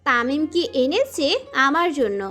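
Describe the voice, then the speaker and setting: A woman's voice: sits high, expressive, unhurried, bright, forceful tone, exaggerated voice. A woman playing a sweet, forgiving young girl in an audio story drama.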